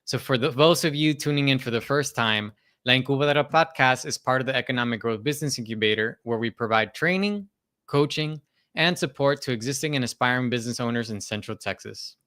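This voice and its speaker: Guy hosting a podcast, crisp bright tone, polite and formal, reads off his notes. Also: mid-range voice, fairly quick. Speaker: podcast host. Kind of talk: scripted podcast introduction and interview.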